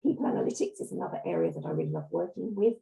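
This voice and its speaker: A woman presenting to colleagues over a video call, her voice hoarse and croaking from a cold. She pitches low with a gravelly edge and a mellow tone, and she clears her throat as she goes. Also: wildly swooping pitch, rapid-fire. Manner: clears throat often, apologetic. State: hoarse, under the weather. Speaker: guest speaker, reward consultant. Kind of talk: webinar presentation.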